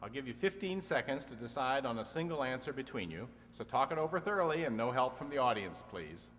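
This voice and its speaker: A man's voice: medium pitch, sing-song, fairly quick, fairly bright tone, a little hiss or hum. A man playing an old-time radio quiz show host, putting questions to contestants in a reverberant hall. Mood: measured. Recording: echoey hall, long reverb.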